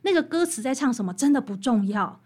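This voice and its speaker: High-voiced Taiwanese woman, emphatic guest. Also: animated, fairly quick, a little mellow, breathy edge. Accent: Taiwanese Mandarin. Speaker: guest author, researcher of popular songs. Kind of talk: interview conversation, explanatory storytelling.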